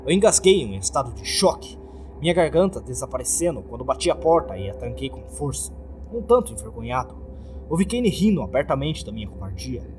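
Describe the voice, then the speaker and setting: A man with a Brazilian accent reads a scripted horror story in a dramatic, expressive storytelling manner. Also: mid-range voice, fairly quick, a little hiss or hum. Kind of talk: scripted dramatic creepypasta narration.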